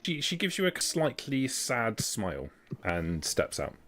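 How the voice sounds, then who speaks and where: A man working as game master, improvising narration for a tabletop game in a deliberate, explanatory way. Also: medium pitch, huge pitch swings, a bit bright.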